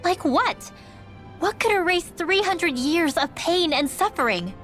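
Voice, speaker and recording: A woman's voice: very high voice, expressive, unhurried, a bit bright, faint music behind, full character voice. A woman voicing a distrustful, hot-tempered teenage girl mage in a fantasy video game, indignant and insistent. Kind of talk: video game dialogue acting.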